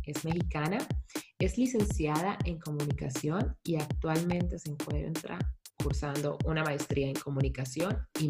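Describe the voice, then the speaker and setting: A woman with a low, gravelly voice hosts an interview podcast. She speaks conversationally, with faint music playing behind her. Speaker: podcast host.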